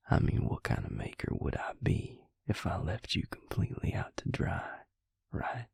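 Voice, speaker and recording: A man playing a devious, smug male vampire in an intimate audio roleplay, taunting his victim slowly in a breathy, gravelly whisper. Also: low voice, sing-song, darkish tone, dry recording, studio quality, heavily acted. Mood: smug, explaining. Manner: slow, taunting, self-satisfied.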